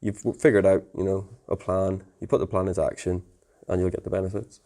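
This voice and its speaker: A man's voice: deep voice, level tone, fast, dark tone. A man giving a casual technical tutorial in an informal, plain-spoken, conversational way. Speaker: technical presenter explaining network setup.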